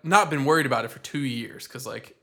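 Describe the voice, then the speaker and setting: A man's voice: medium pitch, fairly quick, a bit bright. Giggly young man in casual movie chat. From United States. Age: young adult. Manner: laughing, quoting movie lines, lots of filler likes. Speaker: podcast co-host.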